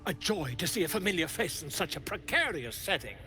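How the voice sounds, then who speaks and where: A man's voice: on the high side, big pitch swings, brisk, pushed and bright. A man voicing a florid, courtly and theatrical storyteller character in a video game. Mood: grateful, grandiose. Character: flowery, theatrical, grateful rescued storyteller. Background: music.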